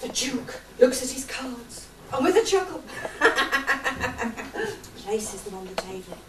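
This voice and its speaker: A woman playing a proud, excitable relative in an amateur pantomime, declaiming lines on stage. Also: sits high, slow, exaggerated voice. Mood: dramatic. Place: theatre or hall stage with audience. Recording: echoey hall, distant mic, noisy background.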